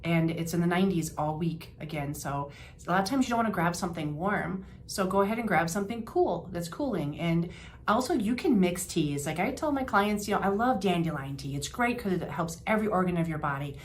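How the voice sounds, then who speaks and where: A woman's voice: on the low side, expressive, fairly quick, a little hiss or hum. An older woman, a health practitioner giving casual wellness advice in a homey, chatty way with fillers and restarts. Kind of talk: informal health advice talk to camera.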